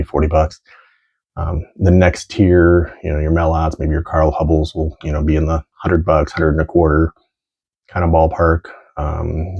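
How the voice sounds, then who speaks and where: A man's voice: sits low, gravelly, dark tone, breathy edge. A middle-aged American man rambling casually and thinking aloud as he presents collectibles, in a lo-fi home recording. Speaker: vintage sports card collector presenting items. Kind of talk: casual unscripted show-and-tell.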